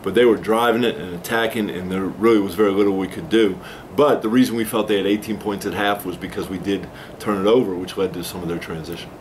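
A man in his forties, a head coach, giving measured, explanatory postgame answers at a press conference table. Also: low voice, steady tone, slight background noise. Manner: measured, explanatory, takes responsibility. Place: small press room with a backdrop, indoors.

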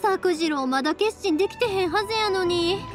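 A spirited, caring young anime game heroine with a Kansai accent. Her voice is high and very animated, earnest and colloquial, over soft music. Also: a little fast. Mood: alarmed, worried. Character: spirited, caring young woman, fireworks maker. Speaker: voice actor in a game.

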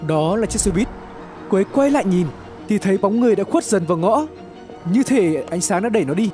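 A male narrator tells a dramatic horror tale with plenty of suspense. His voice sits high for a man and swings widely in pitch, yet the tone stays mellow. Faint music plays behind him. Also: expressive, a little fast. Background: background music.

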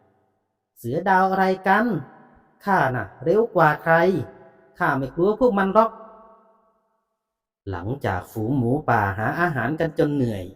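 A man calmly narrating bedtime fables in a measured storytelling voice. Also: mid-range voice. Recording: long reverb.

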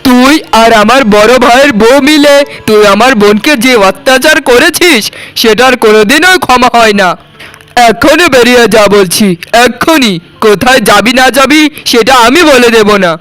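A man acts out a domineering cartoon husband, fiercely protective as a brother. His voice is unusually high for a man, scolding and accusing in angry commands. Music plays underneath. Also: normal speed, a bit bright, low-quality recording. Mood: scornful, unforgiving. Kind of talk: cartoon melodrama dialogue. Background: background music.

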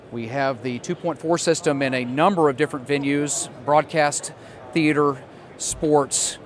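An American man in his fifties giving a product demonstration as a sales manager. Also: on the high side. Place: large indoor trade show hall, at a booth. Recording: clear trade show floor noise. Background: busy exhibition hall ambience.

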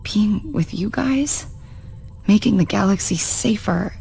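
A woman's voice: medium pitch, expressive, takes it slowly, vocal fry, dark tone, faint music behind, full character voice, poor audio. An actress voicing a stern green-skinned female warrior and former assassin, terse and guarded. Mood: somber. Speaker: game character.

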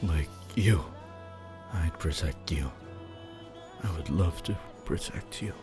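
A shy young wolf student, acted by a man, speaks in a very low voice at an unhurried, halting pace. He is gentle, apologetic and stammers softly, as in an ASMR roleplay. Music plays clearly behind him. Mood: earnest, gentle. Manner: hesitant, stammering, soft-spoken.

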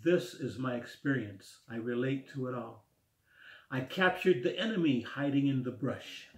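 A man in his sixties reading his own rhymed poem aloud at home in a slow, rhythmic recitation. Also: mid-range voice, darkish tone. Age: 60s. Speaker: poet. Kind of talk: spoken word poetry reading. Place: small room at home, indoors. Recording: echoey room.

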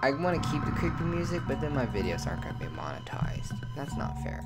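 A man's voice: fairly high pitch, very animated, fast talker. A man hosting a gaming video with casual commentary, swearing and muttering to himself as he plays. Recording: music behind the voice. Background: loud game music.

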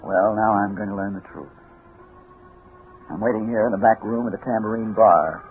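Low-voiced radio noir detective, played by a man. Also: steady tone, moderate pace, natural voice. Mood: brooding narration. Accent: American. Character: haunted hard-boiled male detective, obsessed with a mysterious woman. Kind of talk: 1950s radio noir acting and narration. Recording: noisy, phone-like 1950s radio recording.

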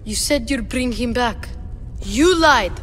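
A woman's voice: a little high, expressive, slow, fairly bright tone, long reverb tail, faint music behind. A woman voicing a young boy in a video game, pleading and accusing in grief. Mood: grieving. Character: grieving young Greek boy, stubborn. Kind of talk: video game cutscene acting.

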